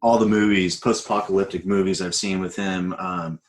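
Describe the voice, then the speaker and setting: A man in casual podcast conversation as a panelist, speaking hesitantly and thinking out loud as he goes. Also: deep voice, steady tone, moderate pace.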